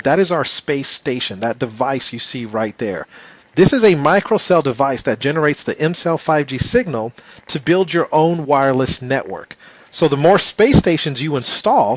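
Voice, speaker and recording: Slightly hoarse enthusiastic male presenter. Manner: enthusiastic salesy pitch, addresses viewers as you guys. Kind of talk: business opportunity presentation.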